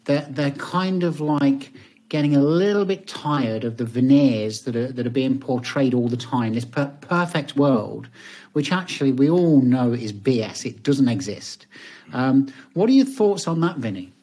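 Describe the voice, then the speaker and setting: A man hosting a podcast interview, talking in a halting way with many restarts. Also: medium pitch, a little mellow, husky. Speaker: podcast host. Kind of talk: casual interview chat.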